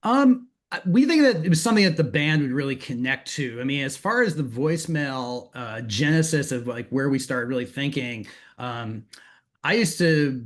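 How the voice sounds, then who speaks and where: A middle-aged man answering casually as an interview guest, halting and searching for words as he tells anecdotes. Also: mid-range voice, lively intonation. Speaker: guest, music industry manager.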